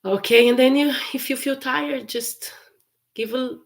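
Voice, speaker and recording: Slow, careful woman teaching English. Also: high-pitched, steady tone, vocal fry. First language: Portuguese. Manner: slow, careful repetition of phrases with frequent laughs. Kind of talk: live-stream English lesson.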